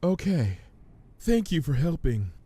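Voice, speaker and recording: A man voicing a timid, anxious young female pony in a storybook reading, squeaky and whimpering. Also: very animated, a little slow, dark tone, breathy edge, no echo, heavily acted. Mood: meek.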